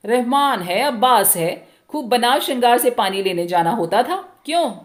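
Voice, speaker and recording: A woman's voice: a little high, animated, moderate pace, close to an everyday voice. A woman voicing a jealous, suspicious young village husband in a storybook reading, questioning in an agitated, accusing manner. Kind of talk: dramatic Hindi short story reading.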